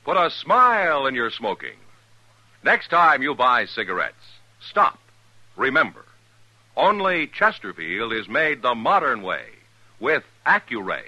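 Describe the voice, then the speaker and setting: A man's voice: very animated, a little slow, bright, forceful tone, no echo, poor audio. A man reading a polished, emphatic 1950s radio commercial as announcer. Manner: polished, emphatic sales pitch. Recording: dry 1950s radio recording.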